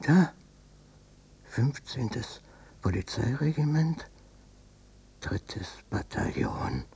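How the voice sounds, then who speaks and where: Radio drama: short-tempered, evasive old father and ex-policeman, slow and husky. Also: mid-range voice, huge pitch swings, full character voice. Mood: amazed, absorbed. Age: older. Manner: blustering, repetitive, swinging from muttering to shouting. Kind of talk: German radio drama acting.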